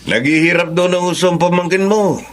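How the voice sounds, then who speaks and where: A man's voice: sits high, brisk, a little hiss or hum, close to an everyday voice. An actor playing a concerned male neighbour in a radio drama, earnest and advising. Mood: gossiping, concerned. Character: concerned male neighbour passing on local news.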